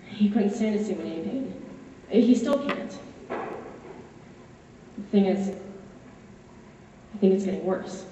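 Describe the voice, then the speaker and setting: A woman performing a dramatic monologue as a wry, wistful fourteen-year-old boy, confiding in a conversational way with dramatic pauses. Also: medium pitch, mellow, close to an everyday voice. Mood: subdued, sad. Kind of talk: solo dramatic monologue, direct address. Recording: echoey hall, distant, muffled, faint noise.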